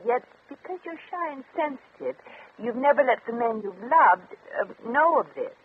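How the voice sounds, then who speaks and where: An actress playing a breezy, worldly, wisecracking fortune teller with a flip, streetwise manner, in 1950s radio comedy style. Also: female voice, a little high, animated, moderate pace, slightly rough. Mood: knowing, coaxing. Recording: crackly, tinny old radio recording.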